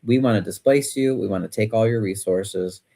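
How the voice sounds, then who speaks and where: A man's voice: on the low side, normal speed, warm tone. A man hosting a casual chat show, talking in a rambling, reactive way full of fillers. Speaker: podcast host.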